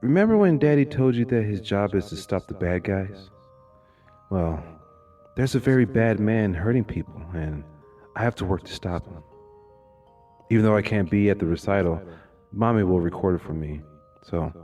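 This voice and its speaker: Guy acting a tired driven cop, lowish voice that moves around a lot, music under it, audio drama. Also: animated, normal speed, long pauses, warm tone. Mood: gentle, apologetic. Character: weary, driven police detective and father.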